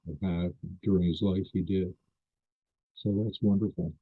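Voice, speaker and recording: Older male interviewer, low husky voice, polite and hesitant, on a tinny phone line. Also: steady tone, unhurried. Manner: polite, hesitant, apologetic, restarts phrases. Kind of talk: interview questions.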